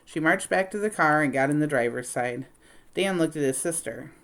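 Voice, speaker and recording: A woman's voice: deep voice, expressive, normal speed. A woman narrating a children's book as a read-aloud.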